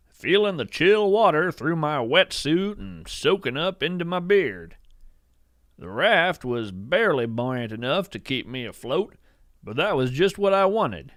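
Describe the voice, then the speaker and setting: A man playing an earnest, awestruck naturalist, narrating in a poetic, measured storytelling style. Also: fairly high pitch, fairly bright tone, dry recording, studio quality. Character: awestruck bearded male naturalist who studies strange creatures. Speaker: host and narrator of a fiction podcast. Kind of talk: scripted first-person narration, storytelling.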